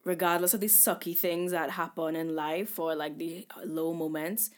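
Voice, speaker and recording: A woman's voice: lowish voice, fairly even intonation, normal speed. A woman co-hosting a podcast in casual chat, earnest and reflective. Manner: earnest, reflective, wraps up the episode. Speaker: podcast co-host.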